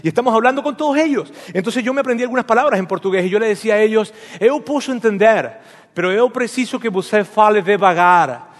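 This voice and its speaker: Male preacher, light high voice, playful storyteller, reverberant hall. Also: fast. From Venezuela. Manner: storytelling with humor, asks the audience questions. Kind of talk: sermon.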